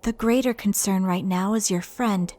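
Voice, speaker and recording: A woman voicing a composed, solemn dead schoolgirl in a game dub, speaking in a measured, formal way. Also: mid-range voice, darkish tone, soft background music, natural voice. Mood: grave. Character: solemn dead high school paranormalist girl ghost. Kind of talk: anime game fan dub acting.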